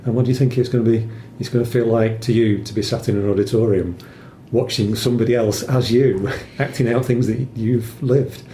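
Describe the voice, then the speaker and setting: A man's voice: steady tone, brisk, hardly any pauses, mellow. A man asking interview questions in a casual, slightly hesitant, informal way. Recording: roomy echo, background rumble.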